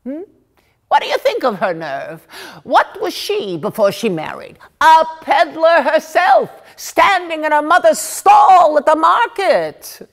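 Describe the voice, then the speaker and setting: A woman voicing a sharp-tongued, scornful village gossip in a storybook monologue, complaining in rhetorical questions. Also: medium pitch, huge pitch swings, a little slow, vocal fry, heavily acted. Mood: indignant, scornful.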